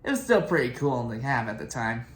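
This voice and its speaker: A young man talks in an echoey room, hosting a casual fan video. His delivery rambles and halts as he finds his way through his thoughts. His pitch sits in an ordinary middle range. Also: big pitch swings, fairly quick, fairly bright tone. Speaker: reaction video host.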